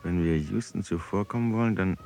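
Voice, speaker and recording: A man's voice: low-pitched, brisk, mellow, soft background music. An actor in a radio drama playing an eloquent, proud dreamer poet and swordsman, with a precise, theatrical delivery. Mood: dawning inspiration.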